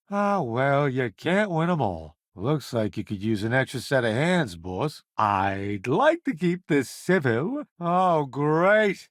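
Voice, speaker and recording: A man's voice: a little high, fairly bright tone, no echo, studio quality, exaggerated voice. An actor playing a brash, self-assured middle-aged food journalist turned crewman in a video game, with a wry, theatrical delivery. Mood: wry, cocky. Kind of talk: video game companion voice lines.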